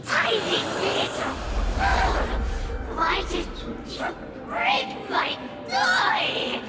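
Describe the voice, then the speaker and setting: A woman voicing a tiny, menacing childlike puppeteer spirit in a game, drawn-out and sing-song, with a heavy echo effect and music behind. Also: very high voice, sing-song, full character voice. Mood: eerie menace. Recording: echoing, distant, loud music behind.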